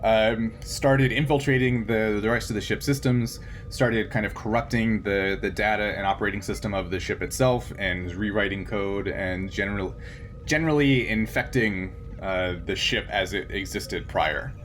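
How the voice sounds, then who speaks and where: A man working as game master, narrating a tabletop game in a halting, conversational way with frequent chuckles. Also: fairly low pitch, fairly bright tone, a little hiss or hum.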